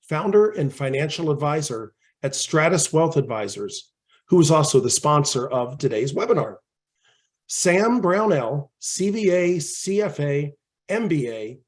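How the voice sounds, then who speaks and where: Male host, slightly hoarse, reads the intro steadily. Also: on the high side, darkish tone. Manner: reads prepared remarks steadily. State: slightly hoarse from a head cold. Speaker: webinar host. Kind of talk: scripted webinar introduction.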